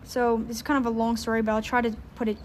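A woman talks as a podcast guest. Her slightly high voice stays fairly flat in pitch, and she often stops on "um". Faint noise sits behind her. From Louisiana, United States. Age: 20s. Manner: earnest, fills pauses with "um". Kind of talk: casual interview chat.

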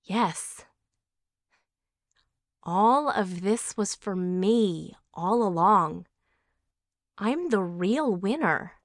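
A woman's voice: mid-range voice, animated, very slow, long pauses, no echo, studio quality. A woman playing a self-absorbed, glory-hungry aunt in an audio drama, dramatic and self-important. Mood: entranced, grandiose.

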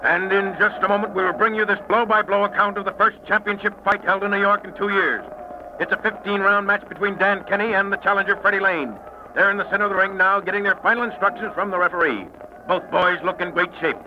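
An actor playing an excited radio boxing announcer, calling blow-by-blow in 1940s broadcast style. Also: male voice, high voice, fairly quick, natural voice. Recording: dull, very noisy old radio broadcast.

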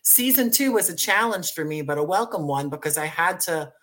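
A man's voice: on the high side, animated, brisk, fairly bright tone. An American man speaking conversationally as an interview guest, effusive and thankful with playful wording. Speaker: guest, audio drama writer and producer.

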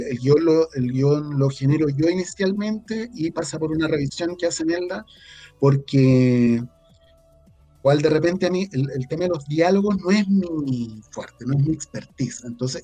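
Chilean male interview guest, slightly high mellow voice, searching for words, music underneath. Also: slightly rough. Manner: halting, repeats words while searching for phrasing. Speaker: interview guest, audio drama writer. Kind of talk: casual interview conversation.

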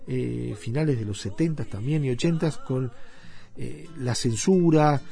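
A man hosting a radio show, speaking casually and a bit haltingly. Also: mid-range voice, mellow, husky. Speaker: radio host.

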